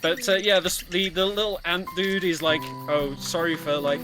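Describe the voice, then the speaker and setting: A man's voice: sits high, moderate pace, poor audio. A man giving casual speedrun commentary, explaining the game in a hesitant way. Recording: loud game music behind. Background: loud video game music.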